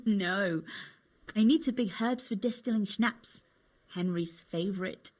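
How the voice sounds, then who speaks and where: A woman's voice: mid-range voice, takes it slowly, darkish tone. A young woman playing a cheerful, teasing medieval tavern girl in a video game, chatty and coaxing. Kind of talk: video game cutscene acting.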